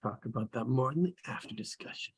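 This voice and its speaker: Man, husky voice, mid pitch, relaxed casual talk, video call mic. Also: fast talker. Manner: casual, explaining in plain terms. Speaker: table read participant. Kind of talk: amateur script table read over video call.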